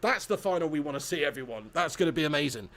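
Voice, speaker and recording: Chatty high-voiced guy streaming. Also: animated, quick pace, a crowd in the background. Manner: chatty, laughs often, banters with viewers. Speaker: streamer hosting a football watch-along. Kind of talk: casual live commentary reading chat.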